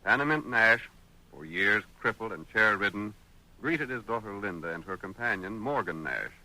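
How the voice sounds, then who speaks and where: A man's voice: on the low side, lively intonation, moderate pace, sharp tone, exaggerated voice, poor audio. A man with an American accent giving dramatic scripted narration for an old-time radio western. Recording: vintage radio drama recording.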